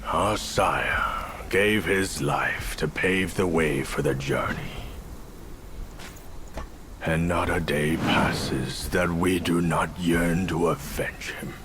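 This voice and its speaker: Grave vengeful dragon spirit, super low voice, drags every word. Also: male voice, noisy background. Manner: solemn, heavy slow delivery. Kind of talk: video game cutscene dialogue. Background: music.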